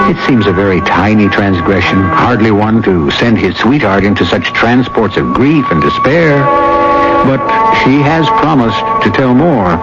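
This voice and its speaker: Low, theatrical male radio host. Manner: measured, ornate, arch. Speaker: host narrator. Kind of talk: literary, theatrical scripted narration. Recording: muffled old radio recording with music. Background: music.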